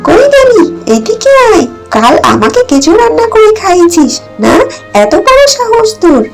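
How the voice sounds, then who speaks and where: Bratty stepsister for a cartoon , female performer: piercingly high, animated, bullying, short-tempered. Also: moderate pace, loud music behind the voice, full character voice. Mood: furious. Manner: shrill, shouting threats and complaints. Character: spiteful bullying young stepsister, shrill and quick-tempered. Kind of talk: cartoon story voice acting.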